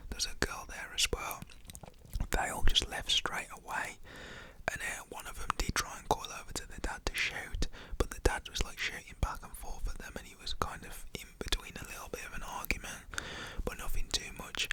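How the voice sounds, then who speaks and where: Whispering British storyteller, casual and slangy. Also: normal speed. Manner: informal, slangy, acts out quoted shouts in a whisper.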